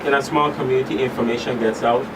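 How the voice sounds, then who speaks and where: A man explains things as an expert guest in a conversational interview. He is deliberate and halting, often repeating himself for emphasis. He sounds away from the mic, over clear background noise. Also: medium pitch, fairly even intonation, distant mic. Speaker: expert guest, school counselor.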